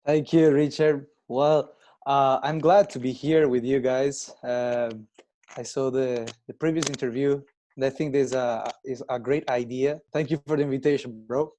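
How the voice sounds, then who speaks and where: Young man in halting second-language English. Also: medium pitch, steady tone, mellow. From Italy. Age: 20s. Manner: halting non-native English, frequent pauses and restarts. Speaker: guest. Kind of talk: casual interview chat.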